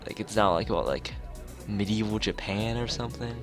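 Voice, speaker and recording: A man's voice: on the low side, normal speed, over music. A man streaming a visual novel, reading it aloud with casual, rambling asides and the odd stumble over lines. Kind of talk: let's play read-aloud with casual asides.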